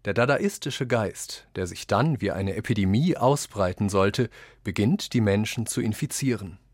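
A man reads literary quotations for a radio essay, with deliberate, declamatory phrasing. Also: fairly low pitch, lively intonation, dry recording, studio quality. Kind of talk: scripted literary reading.

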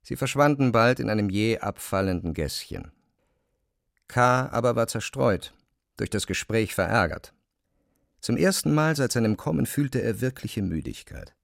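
A man reads scripted literary narration with careful, measured phrasing. His voice is fairly low with a gravelly creak, and the recording is close and very dry. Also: lively intonation, no room sound.

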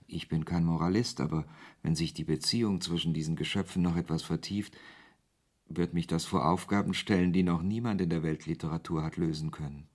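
An actor playing a wry author who narrates his story in a measured, literary way in a German radio play. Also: low-pitched, steady tone, a little mellow.

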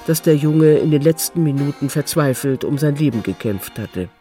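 A man's voice: on the high side, warm tone. A man narrating a literary radio drama from a script.

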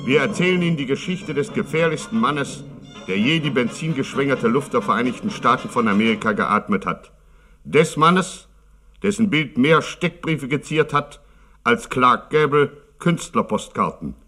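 An actor playing a grandiose narrator of a gangster serial, announcing theatrically in a 1950s radio style. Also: male voice, mid-range voice, expressive, normal speed, natural voice. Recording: vintage 1950s radio recording. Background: orchestral music with brass.

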